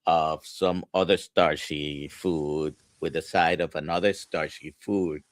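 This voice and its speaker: A man from Puerto Rico hosting an interview, asking thoughtful, halting questions. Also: rumbling low, steady tone, no room sound. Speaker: host physician. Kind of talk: conversational podcast interview.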